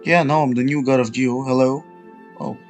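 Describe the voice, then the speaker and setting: A man's voice: mid-range voice, steady tone, moderate pace. A man giving informal, blunt commentary while playing a video game. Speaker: let's play gamer. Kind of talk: casual gameplay commentary. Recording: game music behind voice. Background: video game music.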